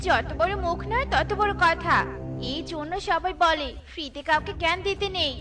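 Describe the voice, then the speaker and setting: A woman's voice: very high-pitched, normal speed, music in the background. A woman voicing a scornful, dismissive female neighbour bird in a cartoon, haughty and discouraging. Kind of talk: cartoon voice acting.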